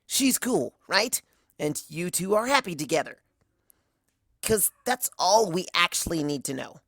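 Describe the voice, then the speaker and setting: A woman's voice: lowish voice, sing-song, a little slow, fairly bright tone, no room sound, clean studio sound, pitched above the performer's own voice, heavily acted. Lady putting on brash tomboy pony, cocky n slangy, voice jumps around a lot. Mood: exasperated. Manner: boastful, slangy, blunt. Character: brash, cocky young tomboy cartoon pegasus mare.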